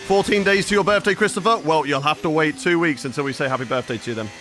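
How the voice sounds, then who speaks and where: A man's voice: on the high side, quick pace, fairly bright tone, slight background noise. Chatty man on a live stream. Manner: chatty, reads and answers chat while playing. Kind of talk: live gaming stream chat.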